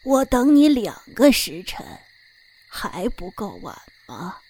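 A stubborn old village woman, played by an actress in a period audio drama. She is curt and scolding, with an unyielding way of speaking that swings widely in pitch. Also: big pitch swings, slow, darkish tone, slight background noise. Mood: curt, annoyed. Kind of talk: Chinese period radio drama acting.

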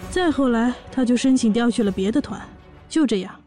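A woman's voice: on the high side, expressive, brisk, dark tone, faint music behind, close to an everyday voice. A woman playing a brash, boastful pregnant orc warrior, a sassy and bossy older sister, in a fan audio drama. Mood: nostalgic, boastful. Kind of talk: audio drama acting and narration.

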